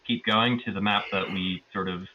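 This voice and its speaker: A man with a fairly deep but sharp-edged voice retells a roleplaying session as a player. He rambles casually and sounds amused. The online voice-chat audio is a little muffled. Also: fairly even intonation, moderate pace. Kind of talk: casual recap of a tabletop game.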